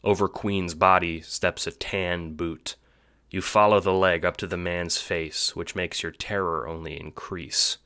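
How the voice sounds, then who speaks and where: A man giving a scripted, dramatic narration for an audio drama, with deliberate phrasing. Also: sits low, steady tone, pushed and bright, clear, resonant tone.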